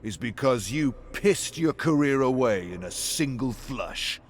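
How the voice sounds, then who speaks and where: A man's voice: mid-range voice, animated, unhurried, slight background noise, pitched above the performer's own voice, natural voice. A man voicing a spiteful, disgruntled male sports fan with a sneering, contemptuous manner in a game story. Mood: scornful.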